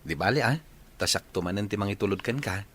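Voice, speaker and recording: Easygoing, low-voiced young suitor. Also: male voice, fast talker, a bit bright, husky. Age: young adult. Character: young male suitor, easygoing, polite, generous. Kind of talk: radio drama acting.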